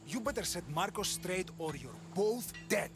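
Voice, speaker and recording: A man's voice: sits high, lively intonation, moderate pace, music in the background. An actor playing an anxious but stubborn male merchant in a video game, pleading and defensive. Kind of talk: cinematic game cutscene dialogue.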